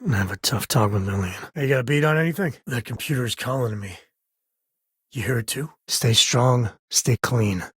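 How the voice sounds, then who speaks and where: An actor playing an easygoing, wry spacefaring explorer and devoted father in a video game, with a husky, gravelly voice and a folksy, conversational manner. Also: mid-range voice, moderate pace, fairly bright tone, dry recording, clean studio sound. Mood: tender, regretful. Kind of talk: video game character dialogue.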